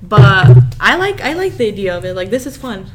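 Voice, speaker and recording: A woman's voice: medium pitch, sing-song, fairly quick, soft background music. A young woman who is a student, chatting casually as a podcast guest. She is laid-back and teasing, and she laughs often. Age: young adult. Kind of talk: casual chat.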